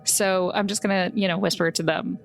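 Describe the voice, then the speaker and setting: A woman playing a tabletop roleplaying game, chatting in a halting, informal way. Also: mid-range voice, fairly even intonation, gravelly, a little hiss or hum. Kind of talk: casual game chat. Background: background music.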